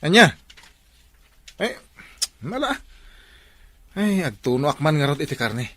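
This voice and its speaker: A man's voice: fairly high pitch, big pitch swings, measured pace, a bit bright, no room sound, heavily acted. A man playing an easygoing, teasing drinking buddy in an audio drama. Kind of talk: Ilocano audio drama dialogue.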